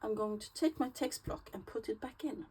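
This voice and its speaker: A woman's voice: on the high side, normal speed, warm tone, husky. A Swedish woman with a Swedish accent, her native tongue Swedish, hosting a casual craft tutorial and chatting with hesitant fillers as she works. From Sweden. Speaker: crafting channel host.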